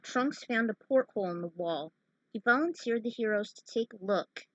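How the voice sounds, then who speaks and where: A woman narrating her own story casually, pausing for halting, informal asides to viewers. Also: a little high, animated, a bit bright, dull audio. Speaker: fan fiction author narrating own story.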